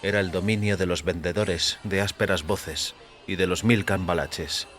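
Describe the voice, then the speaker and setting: Deep, bright-voiced male story narrator. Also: fairly even intonation, normal speed, faint music behind. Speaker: audio fiction narrator. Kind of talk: dramatic scripted story narration.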